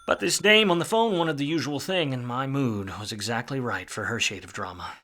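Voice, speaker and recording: An actor playing a world-weary, wisecracking private detective in a film noir radio play, wry and slangy. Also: male voice, medium pitch, expressive, brisk, pushed and bright. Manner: hard-boiled, wry, slangy.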